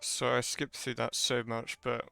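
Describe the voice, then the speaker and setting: Very deep, creaky female streamer. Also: little pitch movement, a little slow, a bit bright, soft background music. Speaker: game streamer. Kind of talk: casual live gameplay commentary.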